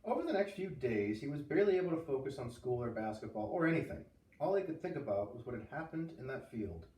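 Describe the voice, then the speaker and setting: A man's voice: medium pitch, sing-song, fast, dark tone. Man reading a story aloud, echoey and distant. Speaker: read-aloud reader. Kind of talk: expressive audiobook-style story narration. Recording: roomy echo, distant microphone.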